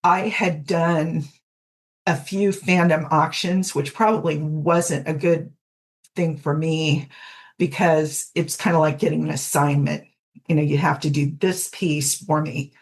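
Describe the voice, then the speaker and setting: A middle-aged woman with a low-pitched voice talks as a guest in a relaxed interview. She explains things reflectively, in plain conversational language. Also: measured pace. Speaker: guest podfic narrator. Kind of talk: casual interview chat.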